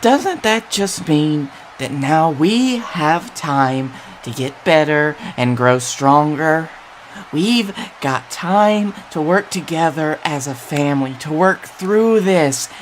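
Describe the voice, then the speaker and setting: A man playing a nervously upbeat wrestler, pleading and coaxing in a halting, emphatic promo style. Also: a little high, slight background noise. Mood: hopeful, coaxing.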